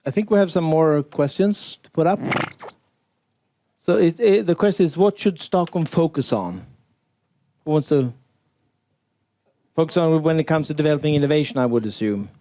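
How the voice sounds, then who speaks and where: Mellow-voiced male panel moderator. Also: a little high, normal speed, pauses often, no room sound. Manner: brief, reads audience questions aloud.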